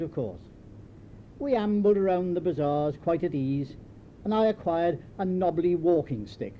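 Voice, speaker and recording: Older man, British accent, highish lively voice, wry memoir narration, muffled home tape with faint noise. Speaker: memoirist reading own writing.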